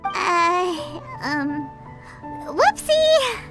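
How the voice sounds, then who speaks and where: A woman voicing a small, childlike fairy companion in an anime-style game, perky, eager and squeaky. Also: big pitch swings, drawn-out words, heavily acted. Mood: sheepish. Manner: talks of self in third person, perky. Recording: loud music behind the voice.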